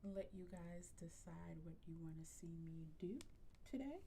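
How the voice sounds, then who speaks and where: A woman streaming a video game from home, chatting casually with a soft voice close to her microphone, with lots of ums. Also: lowish voice, animated, normal speed, vocal fry, slight background noise. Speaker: livestreamer. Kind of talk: casual gaming livestream chat. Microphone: close to a desk microphone.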